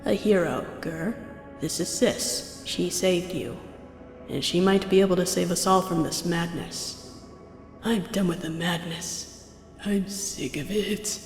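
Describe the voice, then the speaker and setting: A weary male alien invader as a story narrator acts him out, with gentle authority and a slightly raised voice. The sound is echoey, with music behind. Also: mid-range voice, slow. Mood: weary, fed up.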